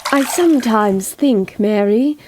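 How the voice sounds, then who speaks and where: Lady doing a huffy pompous old-fashioned dad, high voice, drawn out slow, super dramatic radio play. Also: noisy background. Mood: pompous, stubborn. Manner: melodramatic, exclamatory, blustering. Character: pompous, blustering, childishly vain Edwardian father. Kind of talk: audio drama acting with some narration.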